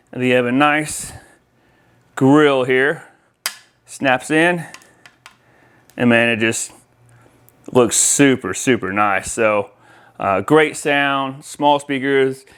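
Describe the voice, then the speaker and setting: Clear-voiced man casually presenting a product. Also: medium pitch, fairly bright tone. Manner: informal, explanatory, addressing viewers directly. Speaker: presenter for an audio-video installer.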